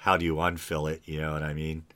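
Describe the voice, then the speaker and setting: A man's voice: a bass voice, monotone, fast talker, bright, forceful tone. A man hosting a casual chat, laughing easily and asking follow-up questions.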